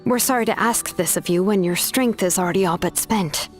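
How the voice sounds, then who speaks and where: A woman's voice: medium pitch, fairly quick, faint music behind, lightly acted. A woman voicing a heartfelt, concerned young female companion in a video game, earnest and open with feeling.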